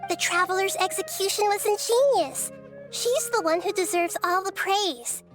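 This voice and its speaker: A woman playing a gentle, wise little girl deity in a video game, with a thoughtful manner. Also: very high-pitched, normal speed. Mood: pleased, encouraging. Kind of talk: scripted video game voice acting. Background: music.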